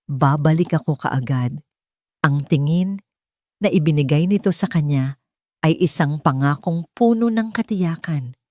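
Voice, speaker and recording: A woman's voice: sits low, measured pace, darkish tone, dry recording, clean studio sound. A woman reading a scripted dramatic story as a storyteller.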